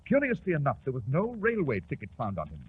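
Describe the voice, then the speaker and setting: An actor playing an incisive, self-assured British detective in precise, authoritative 1940s radio drama style. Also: male voice, mid-range voice, wildly swooping pitch, quick pace. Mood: grave, precise. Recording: scratchy 1940s radio broadcast, narrow band.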